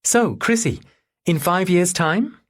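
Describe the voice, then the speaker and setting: A man's voice: sits high, animated, slow pace, pitched above the performer's own voice. A man voicing a friendly, reassuring female TV interviewer in an audiobook. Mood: bright, inquisitive.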